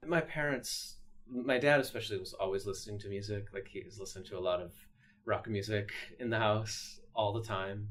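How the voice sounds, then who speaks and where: An American man in his late thirties talking reflectively in a documentary interview, halting and repeating himself as he thinks. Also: lowish voice, a bit bright. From upstate New York, USA. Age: late 30s. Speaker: interviewee, pianist. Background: piano music.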